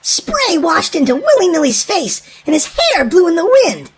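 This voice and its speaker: A woman's voice: extremely high pitch, wildly swooping pitch, normal speed. A woman narrating a children's storybook in a dramatic, emphatic scripted read-aloud style for young listeners.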